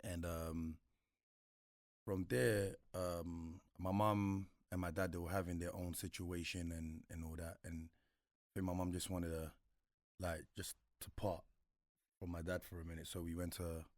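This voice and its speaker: A young man from Congo with a London accent, whose first language is French, telling stories of his childhood in a relaxed, laid-back way. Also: low voice, a little slow, dry recording, clean studio sound. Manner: relaxed, laid-back, many fillers like "you know what I'm saying". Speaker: guest. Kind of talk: casual storytelling interview.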